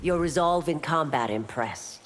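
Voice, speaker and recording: Stern warrior constable, cavernous reverb. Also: female voice, sits low, lively intonation, measured pace. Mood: measured, formal. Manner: formal, firm, authoritative. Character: stern, dutiful female martial-arts warrior constable. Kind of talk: video game character dialogue. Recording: long hall-like reverb.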